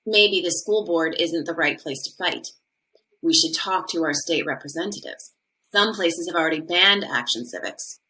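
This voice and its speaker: Bright, lowish-voiced conflicted school administrator. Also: female voice, low-quality recording. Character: conflicted, earnest female school administrator weighing controversy. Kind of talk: dramatized podcast acting.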